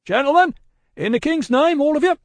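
A man voicing a gruff, suspicious mail-coach guard in a storybook, challenging and threatening in rustic dialect. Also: on the high side, moderate pace, dry recording, voice pushed up. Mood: alarmed, commanding. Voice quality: gruff, loud, roaring when calling out.

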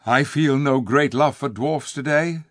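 Brief: noble storybook king, read by a man, formal and measured, stern, close dry sound. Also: medium pitch, dry recording, voice pushed up, close to an everyday voice. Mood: courtly.

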